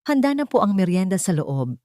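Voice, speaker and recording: A woman voicing a gentle older housekeeper. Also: mid-range voice, very animated, brisk, dry recording, studio quality, natural voice. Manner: soft-spoken, deferential. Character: gentle older female housekeeper, humble and polite.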